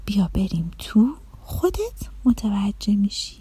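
Woman as a soft, breathy storybook dream fairy. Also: mid-range voice, big pitch swings, dry recording. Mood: inviting. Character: gentle, kindly female dream fairy.

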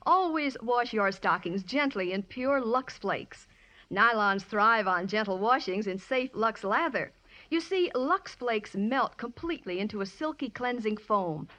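A woman's voice: on the high side, lively intonation, unhurried, sharp tone, low-quality recording. A woman delivering a scripted 1950s radio advertisement in a polished, emphatic sales manner. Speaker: radio commercial announcer.

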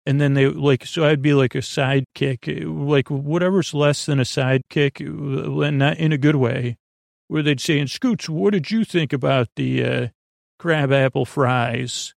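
A middle-aged man with a gravelly voice hosting a bedtime podcast, talking in a halting, meandering monologue that keeps interrupting itself. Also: fairly high pitch, no room sound, studio quality. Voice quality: gravelly, creaky. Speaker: podcast host.

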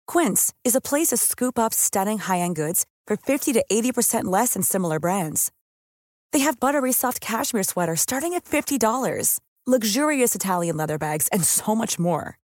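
Lively woman reading an ad. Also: medium pitch, moderate pace, dry recording, studio quality. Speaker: advertising announcer. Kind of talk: scripted ad read.

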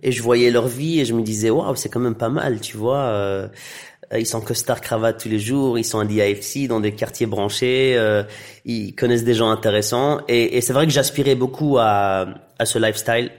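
A man's voice: mid-range voice, normal speed. A man in his thirties with Arabic as his first language, raised in Tunisia and Belgium, talking casually as an interview guest with many fillers and restarts. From Iraqi heritage, raised in Tunisia and Belgium. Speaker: interview guest, actor and director.

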